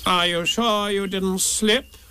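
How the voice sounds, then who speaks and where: A man voicing an officious, solemn rabbit in a storybook, brisk and self-important. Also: very high voice, big pitch swings, sharp tone, a little hiss or hum, voice pushed up, full character voice. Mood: questioning. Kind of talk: storybook character reading.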